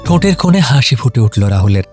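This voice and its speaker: A man's voice: mid-range voice, sing-song, quick pace, darkish tone, soft background music. A man narrating a scripted romantic audio story.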